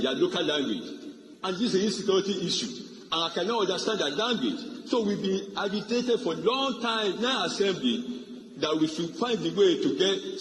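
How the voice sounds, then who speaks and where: Nigerian man speaking in an echoey chamber. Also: high-pitched. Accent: Nigerian Yoruba-region English. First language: Yoruba. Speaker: legislator. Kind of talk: parliamentary floor speech. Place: large indoor legislative chamber. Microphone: desk gooseneck microphone. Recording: echoey noisy chamber, long reverb.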